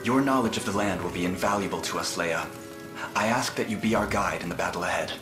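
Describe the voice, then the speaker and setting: A man's voice: low voice, fast talker, music in the background, natural voice. A man playing a just, composed prince in a video game, speaking formally and decisively. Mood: calm, resolute. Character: young noble prince, calm, just army leader. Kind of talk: video game cutscene voice acting.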